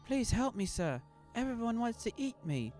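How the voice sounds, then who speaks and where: A man voicing a frightened little red-headed boy who yells in a high, loud, panicky voice. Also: expressive, moderate pace, faint music behind. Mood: pleading.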